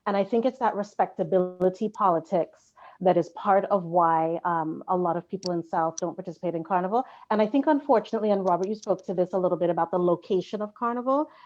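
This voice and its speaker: An articulate woman on a discussion panel who lays out her points analytically. Her voice sits a touch low, and the audio is muffled and lo-fi. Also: a little mellow. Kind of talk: live online panel discussion. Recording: dull lo-fi audio.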